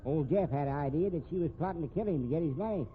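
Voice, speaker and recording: A man's voice: fairly high pitch, rapid-fire, close to an everyday voice. An actor playing an evasive, folksy old night watchman in a 1950s radio mystery, rustic and forever repeating himself. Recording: tinny, noisy old radio recording.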